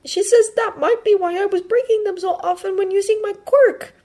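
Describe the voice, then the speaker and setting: An anxious, earnest teenage boy from an audiobook, acted by a woman reader. The voice sits very high, far above the reader's own, with a hesitant, stammering and emphatic delivery. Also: moderate pace, dark tone. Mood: embarrassed, earnest. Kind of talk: audiobook character voice.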